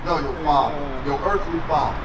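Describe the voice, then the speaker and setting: A middle-aged man preaching loudly on a city sidewalk outdoors into a handheld mic, questioning people as they pass. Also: fairly high pitch, brisk, noisy background. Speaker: street preacher.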